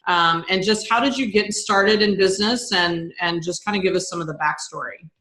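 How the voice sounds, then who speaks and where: A woman hosting a podcast, encouraging her guest with warm affirmations and tagging her points with questions. Her voice sits a little low. The recording has a noticeably roomy, echoey quality. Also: moderate pace. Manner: enthusiastic and affirming, with lots of "right?" and "I love that". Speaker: podcast host. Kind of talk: casual interview. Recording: roomy-sounding mic.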